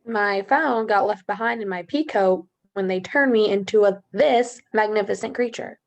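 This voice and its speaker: A woman with a creaky voice playing a talking dog who was once a young woman, in a script table read. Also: medium pitch, moderate pace, close to an everyday voice. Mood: indignant.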